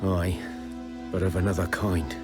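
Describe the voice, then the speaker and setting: An actor playing a weary outcast village hunter in a video game, rustic, plainspoken and guarded. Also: male voice, low-pitched, steady tone, measured pace, loud music behind the voice. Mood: sombre, guarded.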